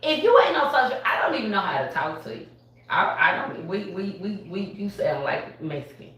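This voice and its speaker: A woman, a barbershop owner speaking on a live panel in an animated, emphatic way, often laughing. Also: mid-range voice, a little fast. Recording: echoey room from far off.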